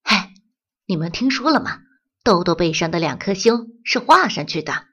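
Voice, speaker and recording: A woman voicing a gossiping ladybug in a children's audio story, speaking in a hushed, sly way. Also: on the low side, expressive, normal speed, slightly rough. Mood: gossipy.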